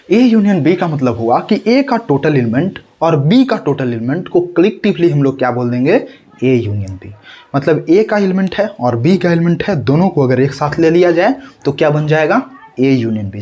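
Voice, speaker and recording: A man's voice: a little high, a little mellow. A young man teaching a math lesson with a sore throat that makes him strain to speak, asking rhetorical questions and checking if students follow. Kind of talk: tutorial lesson.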